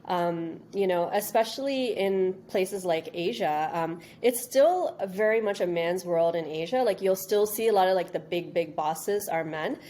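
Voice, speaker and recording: Lower-voiced woman, informal and halting. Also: mellow. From Canada, born in India. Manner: informal, halting, circles back over phrases. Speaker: interview guest, registry business executive. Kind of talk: conversational interview answers.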